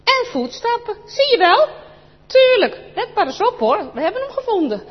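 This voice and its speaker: An excited, cheeky young boy talks fast and high, teasing and bragging, as a woman storyteller voices him. The voice jumps all over. It has a dull, lo-fi tone with long reverb.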